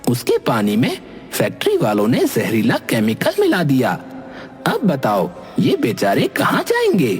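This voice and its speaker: A man's voice: mid-range voice, huge pitch swings, unhurried. A man voicing an indignant cartoon ghost who lectures assertively in defence of forest animals. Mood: reproachful. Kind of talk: Hindi cartoon voice acting. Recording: long hall reverb, faint music behind.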